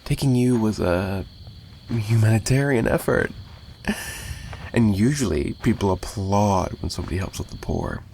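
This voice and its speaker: A man playing a possessive, menacing and flirtatious mafia boss in an ASMR roleplay monologue, taunting and sarcastic with drawling pauses. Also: on the low side, creaky, a little mellow, a little hiss or hum. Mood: smug.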